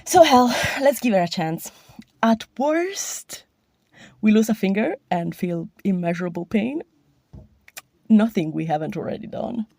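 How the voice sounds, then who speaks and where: A woman's voice: medium pitch, expressive, a little slow, warm tone, no room sound. A woman recording a personal voice message to give her opinion as a podcast listener.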